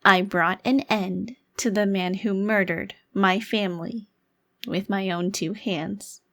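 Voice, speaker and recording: A woman's voice: medium pitch, fairly even intonation, slow, fairly bright tone. A woman playing a haughty, centuries-old vampire crime lord trapped in a child's body, speaking formally in an ASMR roleplay. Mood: grim, defiant.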